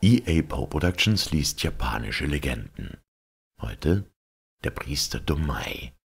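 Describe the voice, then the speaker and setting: A man narrating a literary legend as a storyteller, in a measured, dramatic manner. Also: a bass voice, big pitch swings, vocal fry, slightly rough.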